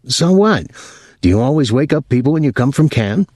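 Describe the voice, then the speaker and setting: A man's voice: mid-range voice, very animated, a little slow, a bit bright, husky, no room sound, studio quality, pitched above the performer's own voice, heavily acted. A man voicing an irritable, skeptical man roused from sleep, curt and disbelieving, in an audiobook story. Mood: irritated, disgusted.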